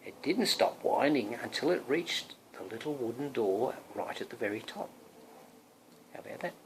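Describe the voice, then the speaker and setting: A man's voice: medium pitch, animated, moderate pace, a little hiss or hum, low-quality recording. A man, a father, reading a picture book aloud to his young son, drawing out words expressively and adding chatty asides that prompt the child.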